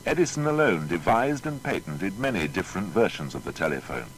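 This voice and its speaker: A man's voice: sing-song, fairly quick, rough recording. A man narrating a scripted documentary biography in a formal, storytelling manner. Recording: faint noise with low rumble.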